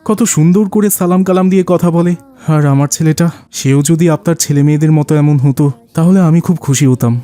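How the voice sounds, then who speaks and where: Troubled rich dad for Islamic cartoon, male actor: slightly high, soft-edged voice, plaintive and earnest. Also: fast, smooth, lightly acted. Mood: hopeful, wistful. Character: worried, complaining wealthy father of a wayward son.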